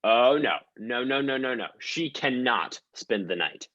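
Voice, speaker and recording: A man's voice: mid-range voice, animated, a little slow, sharp tone, dry recording, dull audio. A man playing a fussy, exasperated police detective and dog owner in a comedic radio play.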